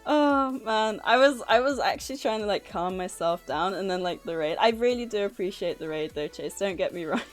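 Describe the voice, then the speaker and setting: A woman's voice: medium pitch, lively intonation, fairly quick, hardly any pauses, soft background music. A woman livestreamer chatting casually with her viewers in a flustered, nervous, giggly and effusively thankful manner. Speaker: livestreamer and author hosting a stream. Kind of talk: casual live chat with viewers. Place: livestream, indoors at a computer.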